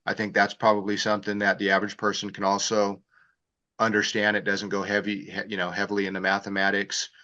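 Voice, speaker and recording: Low, flat-voiced host full of fillers. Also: male voice, quick pace, highs cut off. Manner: hesitant, lots of fillers. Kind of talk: casual interview talk. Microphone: video call.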